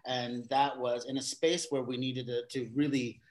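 A man with a voice of ordinary pitch, chatting as a guest on an interview podcast. He works his ideas out as he speaks, doubling back and restarting, and he livens up now and then. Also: moderate pace. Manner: thinks aloud with many restarts and fillers, animated bursts. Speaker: guest, theater company facilitator. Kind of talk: casual conversational interview.

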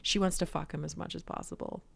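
A woman's voice: low-pitched, steady tone, fast talker, gravelly, fairly bright tone, husky. A young woman co-hosting a podcast, chatting casually about a sitcom episode, swearing and saying "like" a lot. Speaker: podcast co-host.

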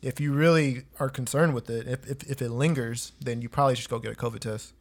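A relaxed man chatting on a podcast, handing out easygoing advice. His talk is loose and friendly, full of filler and slang. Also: mid-range voice, fast talker. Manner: laid-back, gives advice, says "man" and "dude" a lot. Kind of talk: casual podcast chat.

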